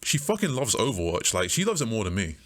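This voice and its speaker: A young man with a loud, joking voice, co-hosting a podcast in casual, slangy chat. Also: fairly low pitch, huge pitch swings, fast talker. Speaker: podcast co-host.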